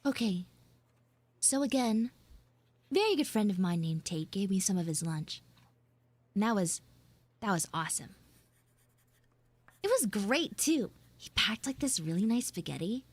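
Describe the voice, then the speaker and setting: A woman's voice: mid-range voice, very animated, measured pace, pauses often, slightly rough, no echo. A woman livestreamer talking casually and expressively to her viewers in informal, exclamatory storytelling. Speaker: VTuber livestream host. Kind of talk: live roleplay chat with viewers, casual storytelling.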